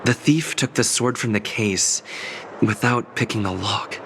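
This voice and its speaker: A man's voice: on the low side, measured pace, noisy background. A man playing a thoughtful young amateur sleuth in a video game, musing in a plain-spoken way. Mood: pensive. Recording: loud game music behind voice.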